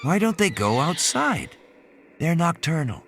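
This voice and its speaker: A man's voice: fairly high pitch, sing-song, measured pace, fairly bright tone, slight background noise, voice pushed up, full character voice. A man voicing an anxious, blunt and slightly fussy male companion in audiobook dialogue. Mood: puzzled.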